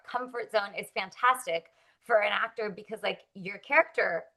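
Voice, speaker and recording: A woman's voice: medium pitch, moderate pace, vocal fry, bright, forceful tone. A woman born in Russia, an actress chatting casually in an interview, upbeat and full of likes and ums.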